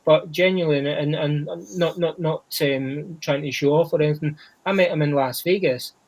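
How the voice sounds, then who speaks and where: A Scottish man talking boxing on a podcast, in a fairly high-pitched voice for a man. He rambles casually and restarts often, dropping "you know" every few words. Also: normal speed, low-quality recording. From Scotland. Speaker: boxing podcast panelist named Chris. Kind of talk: casual chat.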